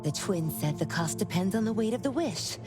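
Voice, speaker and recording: A woman voicing an excitable, self-mocking reclusive manga artist in a video game, with dramatic delivery. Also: mid-range voice, a little fast, close to an everyday voice. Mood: animated storytelling. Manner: dramatic, exclamatory. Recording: music behind the voice.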